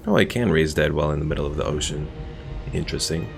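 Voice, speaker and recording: A man casually narrating a strategy game playthrough, thinking aloud and chatting with his stream viewers in a relaxed way. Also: extremely low pitch, quick pace, gravelly. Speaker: gaming streamer. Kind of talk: casual let's-play commentary. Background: game music.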